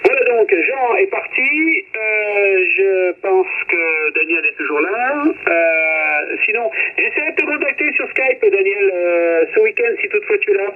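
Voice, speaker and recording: A man's voice: high-pitched, animated, measured pace, few pauses. A man chatting casually as an amateur radio operator over a radio link, often tagging his phrases with small checking words. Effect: radio transmission. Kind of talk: casual radio contact chat. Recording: muffled radio transmission.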